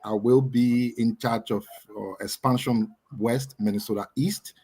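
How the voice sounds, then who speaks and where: Husky Liberian man, chatty co-host. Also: medium pitch, fairly even intonation, measured pace, warm tone. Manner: talkative, laughs often. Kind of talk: casual chat.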